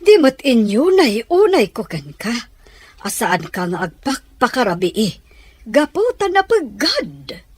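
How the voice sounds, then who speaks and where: A woman with a Filipino accent playing a worried, chiding middle-aged mother in a radio drama, husky-voiced. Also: fairly high pitch, big pitch swings, unhurried, darkish tone, no echo, heavily acted. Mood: worried, scolding.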